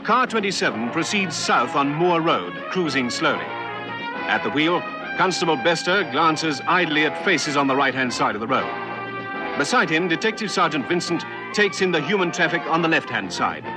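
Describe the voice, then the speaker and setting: A man's voice: a little high, big pitch swings, normal speed. A man narrating a vintage police radio drama in a scripted, dramatic style. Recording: vintage radio recording, music behind.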